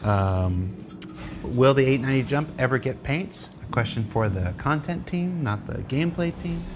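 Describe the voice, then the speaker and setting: A man's voice: medium pitch, expressive, moderate pace, background noise. A man hosting an informal live question-and-answer panel, reading out audience questions.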